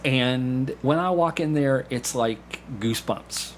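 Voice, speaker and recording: A man speaking as a podcast guest, explaining things casually in a halting way with repeated words and false starts. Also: mid-range voice. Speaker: podcast guest, community theatre actor. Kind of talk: casual conversational explanation with anecdotes. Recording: clear background noise with room ambience.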